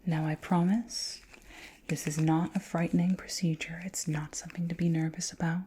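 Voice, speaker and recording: A woman voicing a curious, flirty alien queen in a whispered ASMR roleplay, breathy and close to the microphone, with formal, musing speech. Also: fairly low pitch, flat delivery, mellow, a little hiss or hum, lightly acted. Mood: soothing, clinical. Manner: slow, deliberate, formal wording with musing hums. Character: flirty alien queen, curious, doting, condescendingly fascinated by humans.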